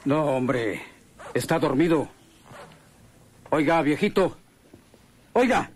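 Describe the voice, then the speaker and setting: A cruel Mexican gang boss in a radio drama, his voice a little high. He taunts and threatens, over faint noise. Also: animated, takes it slowly. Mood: brusque. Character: menacing, mocking male gang boss, cruel.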